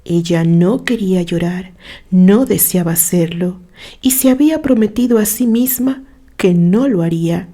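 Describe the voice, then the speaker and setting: A woman narrating a scripted story in a gentle, sentimental way. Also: medium pitch.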